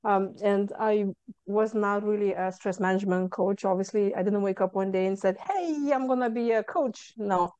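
A young woman with a Lithuanian accent talks as a podcast guest, earnest and explaining with frequent fillers. Her voice is warm and smooth, recorded dry on a webcam over a video call. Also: medium pitch, moderate pace, no room sound, lo-fi sound. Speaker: guest, stress management coach. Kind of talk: casual interview chat.

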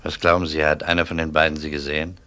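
A man's voice: very deep voice, flat delivery, fast talker, bright, forceful tone. A man playing a firm police inspector in a German crime radio drama, questioning in a clipped, dryly sardonic way. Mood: firm, probing. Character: male police inspector, firm, dry, probing. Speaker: actor.

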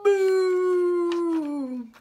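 A woman voicing startled barnyard animals from a storybook with loud, exaggerated cries. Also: very high voice, very slow, a little hiss or hum, voice pushed up, heavily acted. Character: startled barnyard animals crying, hissing, hooting and crowing.

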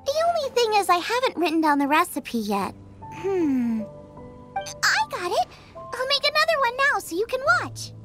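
An eager young girl chef from a video game, with a very high voice that bounces around in pitch. She is cheerful, food-obsessed and breezy, with music playing behind her. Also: wildly swooping pitch, moderate pace, full character voice. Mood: cheerful, confident. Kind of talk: scripted game dialogue.

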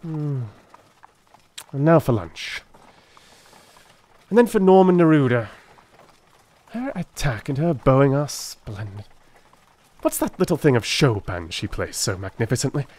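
A man playing a brilliant, brisk detective in a dramatised storybook, commanding, precise, excitable and impatient. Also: medium pitch, voice pushed up. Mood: excited, elated.

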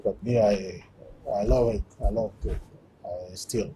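A man from Cameroon in his forties with a French accent, speaking in halting, simple English with many fillers as an interview guest in a boxing gym. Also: on the low side, fairly even intonation, warm tone, slight background noise. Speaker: guest, former fighter. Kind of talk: casual interview. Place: boxing gym, seated in ring.

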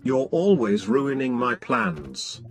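A man playing a whiny, scheming hacker villain in an animated gaming roleplay, theatrical and given to internet slang. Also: medium pitch, takes it slowly, soft background music. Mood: annoyed. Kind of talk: animated Minecraft kids roleplay acting.

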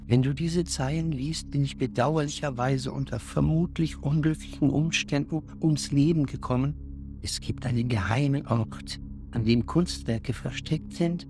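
A man playing a cryptic master art thief, delivering a farewell letter as a formal, measured dramatic voice-over. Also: fairly high pitch, darkish tone. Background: music.

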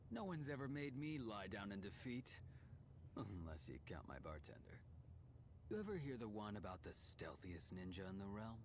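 Casting: cocky young ninja champion for a video game, deep register, sly and taunting, over clear background noise. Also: male voice, big pitch swings, normal speed. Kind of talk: video game character voice lines.

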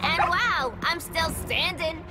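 A woman's voice: very high-pitched, slow pace, full character voice. A woman playing a manic young antiheroine in a video game, sassy and taunting. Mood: playful taunting. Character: perky, manic young female villain-turned-antihero. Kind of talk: video game character dialogue. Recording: loud music behind.

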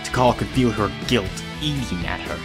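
A man's voice: lowish voice, expressive, moderate pace, music in the background. A man reading scripted third-person narration for an audio drama.

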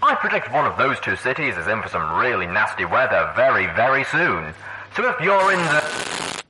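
A man's voice: medium pitch, sing-song, normal speed, pushed and bright, voice pushed up, rough recording. A man voicing a dramatic pony radio disc jockey delivering broadcast patter in an audiobook. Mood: ominous showman.

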